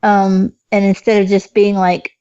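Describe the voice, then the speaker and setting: A woman's voice: medium pitch, flat delivery, unhurried, warm tone, clear, resonant tone, muffled recording. Kentucky woman, laughing chatty podcast guest. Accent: Kentucky Southern. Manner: laughs often, loose and swearing. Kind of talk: casual chat.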